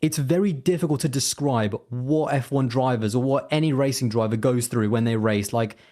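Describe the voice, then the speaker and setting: A man's voice: medium pitch, normal speed, mellow. A man chatting as an interview guest, rambling with lots of fillers and restarts. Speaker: guest, racing content creator. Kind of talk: casual interview chat.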